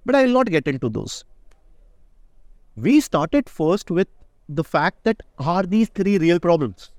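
A man's voice: high voice, big pitch swings, unhurried, a little mellow, no room sound, clean studio sound. A man, a startup founder, answering interview questions in a casual, emphatic way and often asking "right?". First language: not Indonesian. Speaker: startup founder and CEO, guest.

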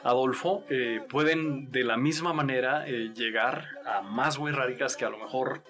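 A man's voice: mid-range voice, moderate pace, over music. A man with a Mexican accent hosting a casual, conversational interview. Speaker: interview host.

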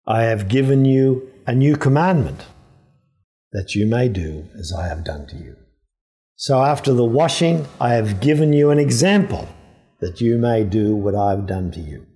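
An older man, a priest, giving a reflective scripture sermon in a deliberate, earnest way. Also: mid-range voice, animated, warm tone.

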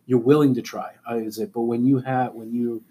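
Husky, mellow middle-aged man, earnest. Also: medium pitch, fairly even intonation, a little fast. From born in Alabama, raised in Germany. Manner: earnest, often stops and restarts his sentences. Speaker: high school principal, interview guest. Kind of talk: casual conversational interview.

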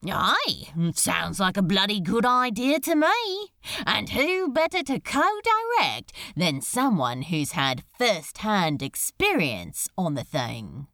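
A woman's voice: mid-range voice, wildly swooping pitch, slow, sharp tone, no echo, studio quality, heavily acted. A woman voicing a cocky, nonchalant male demon in a read-aloud story, with a casual, boastful manner. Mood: breezy, cocky.

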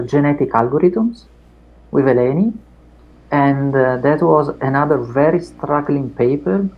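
Gravelly middle-aged Italian man. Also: medium pitch, lo-fi sound. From Italy. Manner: unhurried, many fillers and restarts. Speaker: academic guest. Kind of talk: reflective interview chat.

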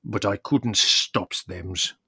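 Man doing a deep, slurred, tipsy fellow. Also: fairly even intonation, takes it slowly, sharp tone, breathy edge, no echo, clean studio sound, exaggerated voice. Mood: tipsy, apologetic. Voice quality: deep, thick, slurred with wine. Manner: mangled plurals, reassuring. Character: loyal, tipsy, apologetic man with broken English.

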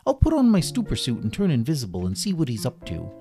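A man puts on a goofy, loyal storybook doggy. He sounds eager and simple, exclaiming in a raised pitch that bounces around freely. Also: huge pitch swings, fast, over music. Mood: worried, thinking.

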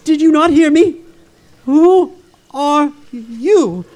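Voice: high voice, expressive, measured pace, fairly bright tone. An actor playing a timid, pompous, superstitious schoolmaster in a theatrical audio drama, florid and melodramatic, given to stammering. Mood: terrified. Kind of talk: theatrical audio drama of a classic ghost story.

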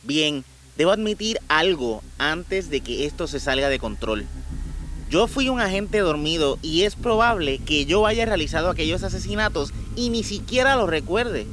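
A man's voice: fairly high pitch, lively intonation, normal speed, a bit bright, slight background noise, low-quality recording. An actor playing a desperate, deluded man who believes he is a programmed killer, pleading and repeating himself in a Spanish-language radio drama. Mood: grave, confessing.

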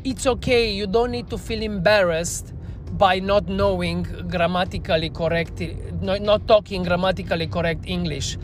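A woman's voice: on the low side, normal speed, slight background noise. A woman with a Romanian accent, a native Romanian speaker talking in English, telling a candid, self-reflective story as a podcast guest. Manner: earnest, self-reflective, non-native phrasing. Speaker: bar trainer, podcast guest.

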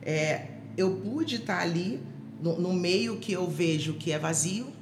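Halting woman. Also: on the low side, expressive, background noise. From Rio de Janeiro, Brazil. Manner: halting, many fillers and restarts. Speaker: interview guest. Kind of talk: personal testimony in an interview. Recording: echoey room with music behind.

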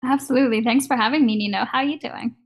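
A woman's voice: a little high, fast. A woman in her thirties from Kenya, chatting casually as an interview guest and laughing easily.

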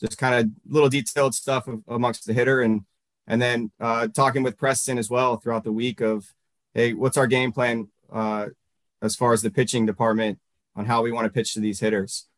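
A man in his thirties from Northern Virginia, a baseball coach answering interview questions in a halting way, full of fillers and restarts. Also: mid-range voice, little pitch movement, dry recording.

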